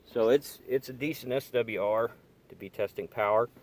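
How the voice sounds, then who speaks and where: A man giving a casual hands-on demonstration in a halting, tentative way, recorded on a handheld camera inside a car. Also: mid-range voice. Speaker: hobbyist demonstrating radio gear. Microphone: handheld camera or phone.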